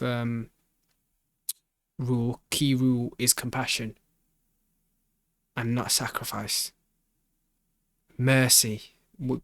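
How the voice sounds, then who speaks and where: A man's voice: medium pitch, a bit bright, dry recording. Rambling man reacting casually, dry close sound. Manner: rambling, slangy, thinking aloud. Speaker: reaction video host.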